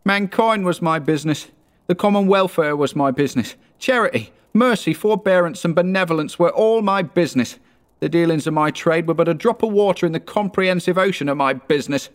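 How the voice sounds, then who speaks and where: A man's voice: on the high side, fairly quick, long reverb tail, pitched above the performer's own voice, lightly acted. A man voicing a tormented, remorseful ghost in a storybook reading, with grave, wailing declamation. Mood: anguished, wailing. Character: tormented male ghost, mournful, remorseful and foreboding.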